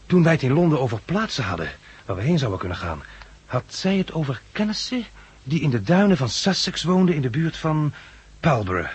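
A man's voice: fairly high pitch, big pitch swings, fairly quick, slightly rough, full character voice. An actor playing a thoughtful, anxious male survivor, musing and hesitant, in a 1960s radio drama. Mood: hopeful, uneasy. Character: thoughtful male plant-grower survivor, anxious, searching for his woman. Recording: vintage 1969 radio play recording.

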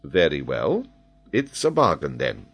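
A man voicing a calm, shrewd army major in a storybook, dryly teasing with an understated, deadpan manner. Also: a bass voice, unhurried, breathy edge, heavily acted.

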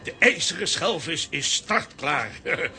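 An actor playing an excitable, fussy professor in a children's radio play, with an eager, flustered stammer. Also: male voice, a little high, unhurried, a little hiss or hum, exaggerated voice. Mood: proud, eager.

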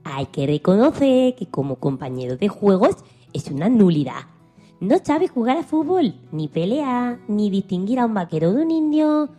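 A disdainful child telling a storybook tale, played by a woman, with a husky, mellow tone. The delivery is emphatic and swoops in pitch over soft music, in children's radio play style. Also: sing-song, normal speed. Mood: mocking, disdainful. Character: disdainful child narrator complaining about a silly pet rabbit.

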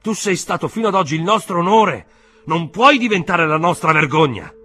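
A man voicing an agitated, domineering older uncle in an audiobook, exclaiming loudly and insistently with indignation. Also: sits high, animated, moderate pace, soft background music, voice pushed up, heavily acted. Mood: pleading, indignant.

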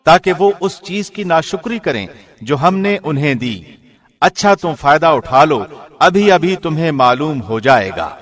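Man reading in measured formal tones. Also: a little high. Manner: measured, formal, even delivery. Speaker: translation reader, radio host. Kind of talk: scripted reading.

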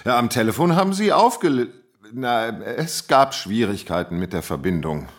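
Officious, animated male director. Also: mid-range voice, normal speed. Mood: awkward, insistent. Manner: formal, hesitant, bureaucratic. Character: polite but persistent, officious institute director. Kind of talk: radio play acting.

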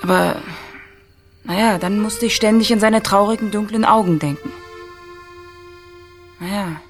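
A woman's voice: mid-range voice, unhurried, lightly acted. Radio play: actress as young female volunteer, blunt and colloquial, mid-range voice, light music bed. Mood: candid. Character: blunt, casual young German volunteer hospital visitor.

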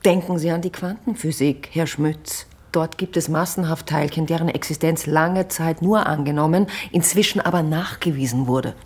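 A woman playing an earnest, analytical investigator in a radio drama, deliberate and husky. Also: deep voice, darkish tone. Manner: deliberate, analytical, hesitant before bold claims. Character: earnest female investigator explaining far-fetched theories. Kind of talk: modern German radio drama acting.

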